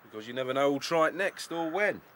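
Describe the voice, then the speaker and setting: Radio drama officer rabbit: fast-talking, slightly high-voiced captain, orderly and in command. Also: male voice, expressive, a bit bright, a little hiss or hum. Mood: brisk, assured. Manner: authoritative, matter-of-fact. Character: brisk male rabbit captain of regimented warren.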